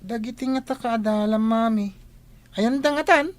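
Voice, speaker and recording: An actress playing an affectionate, admiring young daughter in an audio drama. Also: female voice, on the high side, moderate pace, close to an everyday voice. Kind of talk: Ilocano audio drama acting.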